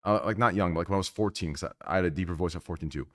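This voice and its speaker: A man hosting a casual podcast chat, with a deep voice and an emphatic, rambling way of explaining himself. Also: very fast. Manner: animated, emphatic, repeats "do you understand". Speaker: podcast host.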